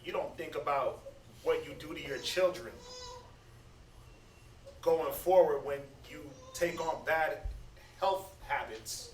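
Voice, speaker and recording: A man's voice: fairly high pitch, takes it slowly, pauses often. A man in his thirties teaching scripture in a casual, preachy way, often stammering and repeating words. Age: 30s. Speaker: Bible study speaker. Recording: echoey room, distant mic, faint noise.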